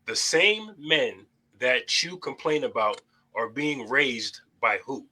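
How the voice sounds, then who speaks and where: A man's voice: medium pitch, animated, slow, bright, forceful tone, low-quality recording. A young adult man hosting a casual panel debate, talking bluntly and preachily, with plenty of swearing. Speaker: host.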